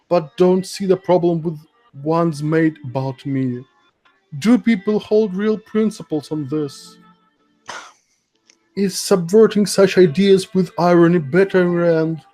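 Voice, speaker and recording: A man's voice: high-pitched, dark tone, rough recording. A man streaming a mock-dramatic reading of a social media thread, theatrical and giggly, with amused asides. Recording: music playing behind the voice. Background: background music.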